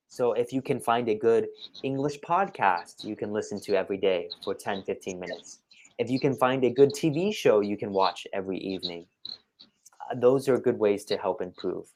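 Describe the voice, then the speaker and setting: A man's voice: medium pitch, darkish tone. A man giving conversational one-to-one tutoring advice as an English tutor, explaining things step by step in an encouraging way. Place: online video call.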